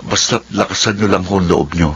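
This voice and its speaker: An actor playing a steady, caring coworker and family friend in a radio drama. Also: male voice, low voice, fairly quick, breathy edge, muffled recording, heavily acted. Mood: reassuring.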